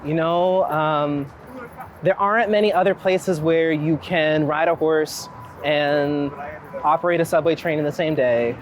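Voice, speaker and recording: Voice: medium pitch, unhurried. An American from Philadelphia chatting casually in a wry, rambling, thoughtful way while out on a walk. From Philadelphia, United States. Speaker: street photographer being interviewed. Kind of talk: casual chat, walking interview. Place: outdoors on city streets. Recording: outdoor city street noise, traffic. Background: street ambience, passing vehicles.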